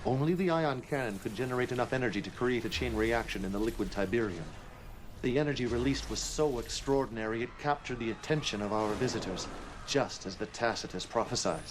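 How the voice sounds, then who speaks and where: A man acting a messianic cult leader in a video game cutscene, calm, calculating and grandiose, in measured, portentous phrases. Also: medium pitch, lightly acted. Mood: prophetic awe. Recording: clear background ambient noise.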